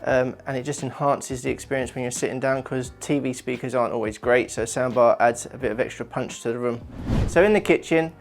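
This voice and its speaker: A man with a mid-pitched voice walks viewers through an installation project. He explains things informally, like a tradesman presenting his own work on a company channel. Music plays along with his voice. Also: steady tone, a little fast, vocal fry.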